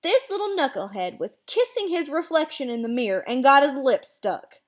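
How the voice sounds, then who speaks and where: A woman's voice: sits high, big pitch swings, normal speed, voice pushed up. A woman voicing an amused, teasing father in his thirties in a story, joking with mild exasperation.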